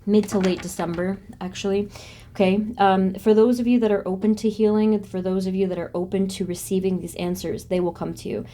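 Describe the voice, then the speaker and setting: A woman reading tarot cards for her viewers, talking softly and haltingly with many pauses and restarts. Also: mid-range voice, fairly even intonation, a little hiss or hum. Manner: soft, halting, with frequent ums and restarts. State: voice briefly going hoarse and quiet. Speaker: tarot reader. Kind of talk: pick-a-card tarot reading.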